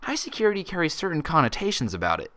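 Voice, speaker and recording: Guy doing a nosy earnest girl unicorn, pitched up, precise and questioning, cartoon story voice. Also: wildly swooping pitch, very fast, a bit bright. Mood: pressing, suspicious. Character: inquisitive, persistent young unicorn mare, sharp and earnest.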